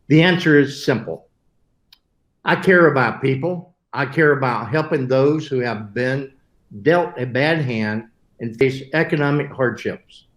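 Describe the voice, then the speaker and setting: A man's voice: medium pitch, measured pace. Earnest older man, roomy sound. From southern United States. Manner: earnest, with occasional self-corrections. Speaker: webinar host. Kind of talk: prepared webinar talk. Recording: roomy echo.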